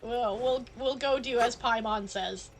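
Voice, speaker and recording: Casting: woman game streamer, fairly high voice, unhurried pace, playful off-the-cuff chat. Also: a little hiss or hum. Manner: playful, chatty. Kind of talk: casual live-stream gameplay commentary. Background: faint game music.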